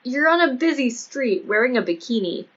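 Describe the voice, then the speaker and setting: A woman's voice: fairly high pitch, sing-song, moderate pace, fairly bright tone. Audiobook role: female reader as veteran lady cop, older, motherly and cautioning, with lo-fi sound. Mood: motherly warning. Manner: motherly, admonishing. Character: matronly, cautioning older policewoman, veteran investigator. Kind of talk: audiobook character dialogue. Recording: dull lo-fi audio.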